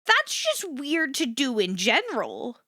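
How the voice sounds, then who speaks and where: A woman with a high, very animated voice that is slightly rough at the edges, chatting to her stream audience. She rambles candidly, swears freely and circles back to repeat her points. The sound is close and dry. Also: unhurried, no room sound. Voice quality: versatile voice, swinging between low and high. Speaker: livestreamer chatting with viewers. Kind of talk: casual rambling chat to an audience.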